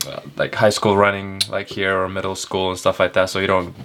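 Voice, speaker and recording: A man in his twenties speaks in a low voice with plenty of creak. He is an interview guest from Ethiopia, chatting casually and haltingly, with frequent fillers. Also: completely flat delivery, fairly bright tone, faint music behind. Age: 20s. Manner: halting, hesitant delivery with frequent filler words. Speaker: elite runner, interview guest. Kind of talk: casual chat.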